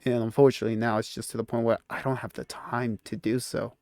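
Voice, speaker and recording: A young man in his twenties rambles casually into a close, dry mic. He often stops himself mid-sentence and chuckles at his own remarks. His pitch sits in an ordinary middle range. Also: little pitch movement, fast talker, darkish tone, no room sound. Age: 20s. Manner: rambling, self-interrupting, laughs at himself. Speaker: YouTuber. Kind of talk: casual reflective vlog talk.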